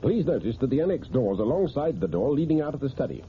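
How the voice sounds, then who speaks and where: A man's voice: mid-range voice, sing-song, natural voice. Man playing a composed wealthy broker, tinny vintage radio. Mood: insistent, composed. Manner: formal, deliberate storytelling. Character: composed, precise, self-assured wealthy male broker. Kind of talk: old-time radio drama acting. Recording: tinny, noisy old radio recording.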